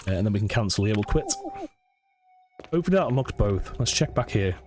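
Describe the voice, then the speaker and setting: A man's voice: fairly low pitch, big pitch swings. A man with a cold and a sniffly voice, casually commentating a horror game and reading its notes aloud. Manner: chatty, thinks aloud, reads text slowly. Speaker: let's play gamer. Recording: faint game music behind.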